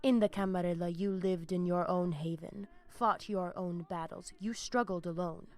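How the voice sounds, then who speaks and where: Woman playing a proud, low-voiced vampire leader. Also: fairly even intonation, normal speed, natural voice. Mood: proud, composed. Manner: solemn, grandiose, threatening. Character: proud, menacing undead vampire pack leader, woman, zealous. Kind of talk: video game character dialogue. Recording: music behind the voice.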